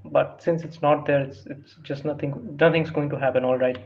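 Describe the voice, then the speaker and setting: A man's voice: fairly high pitch, steady tone, normal speed, creaky, darkish tone. A man who is not a native English speaker walks through a screen-recorded tutorial, reading prompts aloud with many fillers and restarts. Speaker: tutorial host. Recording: dull lo-fi sound with faint hiss.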